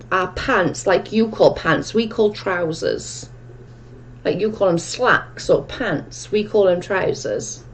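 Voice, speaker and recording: A Welsh woman with a strong accent chats unhurriedly with her viewers. She takes her time, reads each comment out and then rambles through her answer, at a middling pitch. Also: darkish tone. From Wales. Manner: chatty, reads out comments and answers them at length. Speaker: livestream host.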